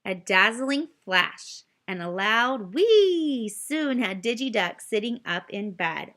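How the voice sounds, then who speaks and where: A woman reading a children's story aloud, expressive and addressing young listeners directly as she narrates. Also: mid-range voice. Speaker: storybook reader.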